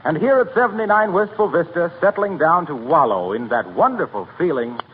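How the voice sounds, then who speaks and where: A man's voice: a little high, lively intonation, fairly quick. A man working as a radio show announcer, reading scripted introductions and sponsor copy in 1940s broadcast style. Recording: narrow-band 1940 radio recording, faint noise.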